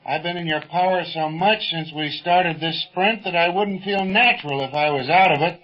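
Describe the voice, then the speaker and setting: An actor playing a scrappy, hot-headed, folksy pilot sidekick, blustering in slangy exclamations in 1930s radio serial style. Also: male voice, a little high, moderate pace, close to an everyday voice. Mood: defiant, indignant. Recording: muffled 1930s radio recording.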